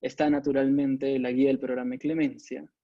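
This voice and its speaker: A man presents in a mellow, relaxed voice of middling pitch. He talks in an informal lecturing way, halting and pausing often. The sound is dry and a bit dull, like compressed webinar audio. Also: no room sound. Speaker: webinar presenter, competition agency adviser. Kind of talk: informal expert lecture with slides. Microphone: video call microphone.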